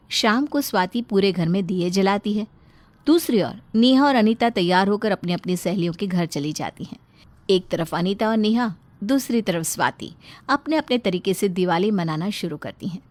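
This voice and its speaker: A woman's voice: medium pitch, dry recording. A woman narrating a scripted story.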